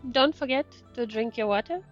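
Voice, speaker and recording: A woman's voice: a little high, a little fast. A woman streaming a game with casual commentary in non-native English, often searching for words. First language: not English. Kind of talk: casual gameplay commentary, reading dialogue aloud. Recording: dull sound with faint music behind. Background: game music.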